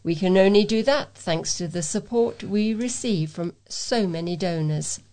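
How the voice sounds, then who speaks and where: Female volunteer presenter, mid-pitched, reading scripted local news. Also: a little slow, warm tone. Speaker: volunteer newsreader and presenter for a talking newspaper. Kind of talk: read-aloud local news.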